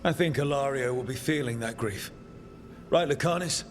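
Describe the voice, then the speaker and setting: A resolute, loyal hero from a video game, played by a man. He speaks earnestly and reassuringly in a medium-pitched voice. Music plays clearly behind him. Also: moderate pace, close to an everyday voice. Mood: determined. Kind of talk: video game character acting.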